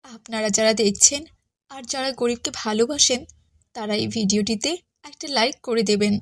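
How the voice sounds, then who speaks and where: A woman's voice: on the high side, moderate pace, no room sound. A woman voicing a poor, humble widowed mother in a cartoon, tender and earnest with her children. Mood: resigned. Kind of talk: Islamic cartoon dialogue.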